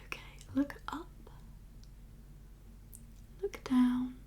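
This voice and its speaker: A woman whispering as a doctor in an ASMR exam roleplay, giving gentle, reassuring instructions in a breathy voice. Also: high-pitched, drawn-out words, slight background noise. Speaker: ASMR performer playing a doctor.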